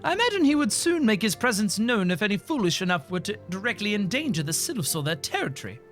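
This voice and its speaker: An earnest young scholar lectures in stiff, formal phrasing, read aloud by a streamer. The delivery is measured and the pitch moves a lot, a little higher than the reader's usual voice. Faint game music plays underneath. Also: big pitch swings, a bit bright.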